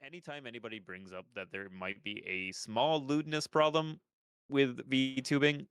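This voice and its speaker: A man's voice: medium pitch, fairly even intonation, moderate pace, a bit bright, clean tone, no room sound. A young man with a stuffed-up nose, chatting on a podcast in a rambling way, with lots of restarts and casual swearing. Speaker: podcast host.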